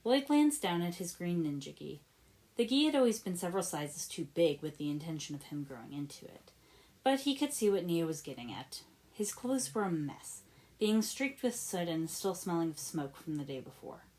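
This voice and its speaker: A woman reading fan fiction aloud as an expressive story narrator. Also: on the low side, moderate pace, poor audio. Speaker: podfic reader.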